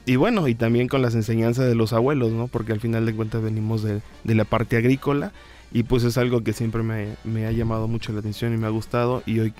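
A Mexican man from the Isthmus of Tehuantepec speaks as a teacher guest. He talks earnestly at a middle pitch, dotting his sentences with fillers like "pues" and "¿no?". Faint music plays beneath. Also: flat delivery, quick pace, a little mellow.

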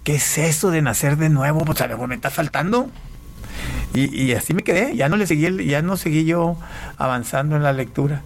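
A man from Panama, an interview guest, giving a personal testimony in a casual, halting way with repeated words. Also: fairly high pitch.

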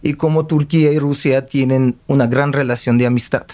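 A man's voice: medium pitch, steady tone, fairly quick, a little mellow. Earnest Uzbek man explaining. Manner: earnest, didactic. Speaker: radio show guest. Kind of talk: explanatory conversation.

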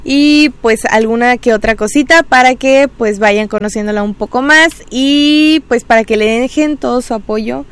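A woman's voice: on the high side, normal speed, hardly any pauses, clean tone. A woman hosting a casual radio interview, chatty and encouraging, with many filler words. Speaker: radio and social media host.

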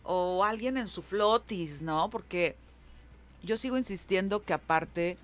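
A woman with a Mexican accent hosting a casual group chat, lively and prompting her guests. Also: fairly low pitch, measured pace. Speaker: host.

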